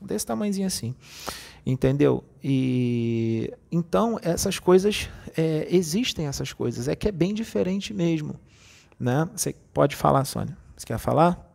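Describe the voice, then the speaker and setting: Gravelly man explaining spirituality. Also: a little high, lively intonation, measured pace, darkish tone. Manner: explanatory, repeats "entendeu?". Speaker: spiritist medium. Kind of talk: casual spiritual talk.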